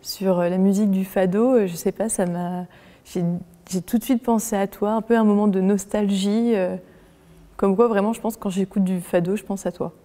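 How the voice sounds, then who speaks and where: A woman in her twenties talking casually in an interview, hesitant, with many pauses and fillers. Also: mid-range voice, steady tone, mellow, big-room sound. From France. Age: 20s. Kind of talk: casual conversation.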